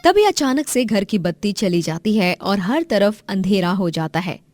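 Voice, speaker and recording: Woman narrating a moral story, mid-pitched. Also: animated, measured pace, a little mellow.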